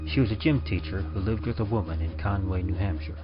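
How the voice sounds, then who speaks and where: Low-voiced male storyteller, wry. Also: fairly quick. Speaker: story narrator, podcast presenter. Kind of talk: dramatic scripted narration in old-time radio manner. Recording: dull lo-fi audio. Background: faint music.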